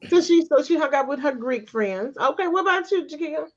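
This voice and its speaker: A woman's voice: high voice, expressive, brisk. A woman hosting a podcast in casual chat, teasing and chatty with her guests. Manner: chatty, teasing, laughs often. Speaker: podcast host. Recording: slightly muffled with faint hiss.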